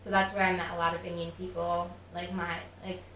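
A woman's voice: lowish voice, flat delivery, fairly quick, sharp tone, echoey room, far from the mic, slight background noise, poor audio. A young woman guest in a casual interview, talking chattily with lots of "like" fillers. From United States. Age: young adult. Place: studio with office chairs.

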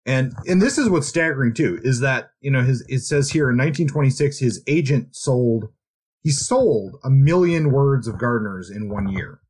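A man's voice: mid-range voice, expressive, moderate pace, a little mellow, breathy edge. A man co-hosting a podcast, casually discussing books and thinking aloud in tentative phrases. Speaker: podcast co-host.

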